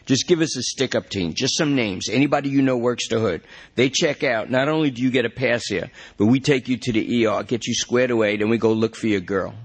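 A man's voice: medium pitch, fairly quick, husky, close to an everyday voice. A man voicing a wry male police detective who coaxes a suspect in a matter-of-fact way. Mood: coaxing.

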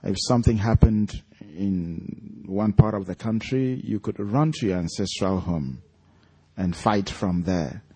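A man's voice: deep voice, measured pace, mellow, breathy edge. A man with a Nigerian accent, an author speaking and reading aloud to an audience at a live event. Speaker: author reading from his own story at an event.

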